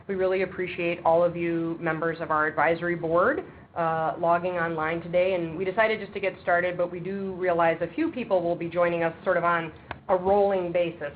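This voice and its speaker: A woman chairing a webinar for an advisory board, talking briskly and practically with frequent ums. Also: on the low side. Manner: says she has a loud voice. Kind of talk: webinar presentation. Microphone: speakerphone on a table. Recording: tinny phone line with faint background noise.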